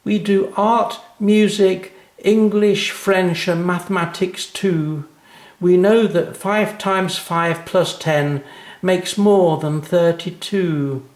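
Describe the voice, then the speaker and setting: A man's voice: high voice, steady tone, takes it slowly, a little mellow, echoey room, pitched above the performer's own voice. A man voicing a cheeky, eager little schoolgirl in a children's story, with sing-song, emphatic delivery. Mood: proud, reciting.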